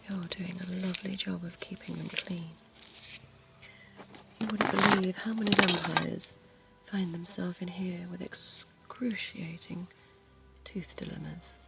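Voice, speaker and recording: A woman voicing a centuries-old, genteel female vampire dentist in an ASMR roleplay, speaking in a soft, posh, coaxing whisper. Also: on the low side, gravelly.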